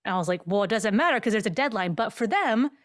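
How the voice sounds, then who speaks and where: A woman's voice: mid-range voice, lively intonation, quick pace, dry recording, clean studio sound. A woman hosting an interview in a casual, informal chat style, telling anecdotes and voicing quoted remarks. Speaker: podcast host.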